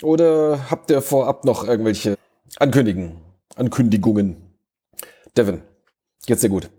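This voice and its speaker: Chatty man with a dark, husky voice. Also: medium pitch, normal speed. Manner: chatty, self-interrupting, joking. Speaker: podcast host. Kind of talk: casual fan podcast chat. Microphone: remote call.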